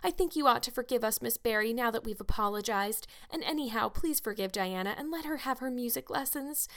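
A woman's voice: on the high side, animated, brisk, fairly bright tone. A woman voicing an eager, dramatic young orphan girl, earnest and wordy, in a storybook reading. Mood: earnest pleading.

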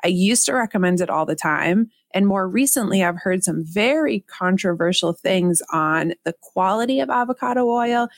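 A woman co-hosting a casual talk show, explaining things in a steady, informative way. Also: medium pitch, moderate pace, few pauses, no echo, studio quality. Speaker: podcast co-host. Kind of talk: casual conversational chat.

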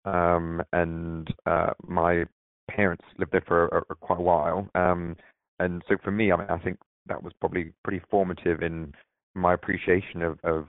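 A very deep, monotone man, thinking aloud and pausing often on "um" as he answers. His voice comes through a narrow, tinny phone line with no room sound at all. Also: dry recording. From Barbados. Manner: hesitant, many filler sounds and restarts. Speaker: diversity manager, interview guest. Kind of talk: unscripted interview answers.

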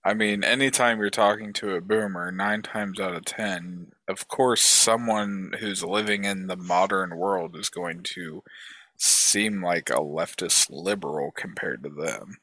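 Male podcast co-host, deep voice with crisp brightness, dry ribbing delivery. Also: no echo. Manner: dry teasing, drawn-out explanations. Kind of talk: casual chat.

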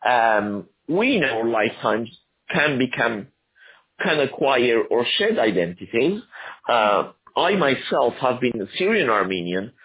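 A man who grew up in Argentina, an author answering interview questions in a halting, thoughtful way with many restarts. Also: medium pitch, big pitch swings, narrow, tinny audio.

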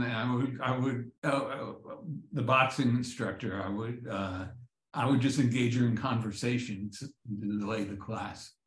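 A man with a middling pitch talks in halting bursts, restarting his sentences often. His humor is dry and self-deprecating. His voice carries a roomy, echoey sound. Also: a bit bright. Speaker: comedy writer and professor. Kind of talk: casual interview answers. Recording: roomy echo.

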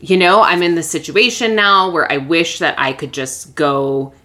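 A woman's voice: sits low, steady tone, normal speed, bright, forceful tone. A woman in her thirties in casual conversation, upbeat, chatty and supportive. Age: 30s. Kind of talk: casual chat.

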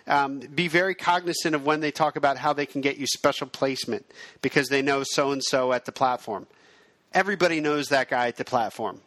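A man's voice: mid-range voice, brisk, fairly bright tone, no room sound. A middle-aged man hosting a podcast, giving frank, conversational advice and dropping his voice for asides. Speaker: podcast host. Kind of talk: casual advice monologue.

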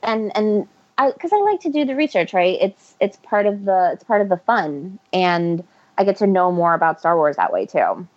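A woman's voice: medium pitch, big pitch swings, normal speed, a little mellow. A woman from Ecuador, a guest author, chatting casually in an interview and often restarting her sentences. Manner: rambling, self-correcting, laughs often. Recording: muffled lo-fi audio.

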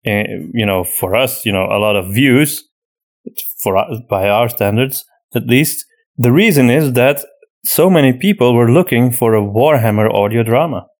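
A man's voice: mid-range voice, normal speed. A man co-hosting a podcast, chatting casually as he answers listener questions. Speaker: podcast host and audio drama writer.

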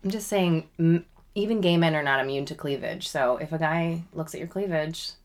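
A woman's voice: low-pitched, normal speed. A young adult woman co-hosting a podcast, chatty and teasing, sometimes dropping to a whisper. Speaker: podcast co-host. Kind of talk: casual chat.

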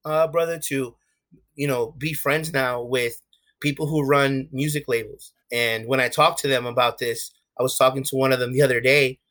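A man's voice: medium pitch, fairly even intonation, moderate pace, fairly bright tone. A young man with a New York accent, talking candidly as an interview guest, with many restarts and fillers. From USA. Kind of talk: casual interview talk.

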